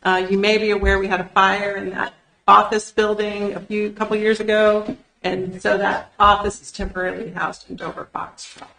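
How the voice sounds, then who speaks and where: A woman with a gravelly edge to her voice and a middle pitch presents to county commissioners. She explains things in an orderly way and often pauses on "uh". The sound is roomy, picked up across a meeting room. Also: flat delivery, sharp tone. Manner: organized, explanatory, with frequent "uh" fillers. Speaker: state land use planner presenting to county commissioners. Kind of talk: formal public meeting presentation. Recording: roomy public meeting recording.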